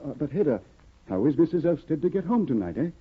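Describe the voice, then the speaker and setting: A fussy, cheerful scholar husband. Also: male voice, fairly high pitch, very animated, fast talker, slightly rough. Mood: genial. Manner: fussy, genial, chattering. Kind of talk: 1950s radio acting. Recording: thin, tinny 1950 radio recording.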